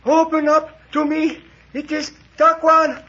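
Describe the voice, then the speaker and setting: Casting: injured young servant, extremely high-pitched, slow, suffering, formal. 1940s radio serial. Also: male voice, little pitch movement, sharp tone, exaggerated voice. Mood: desperate pleading. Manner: slow, formal, anguished pleading. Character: wounded, pleading young servant man in great pain. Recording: dull vintage 1940s radio recording.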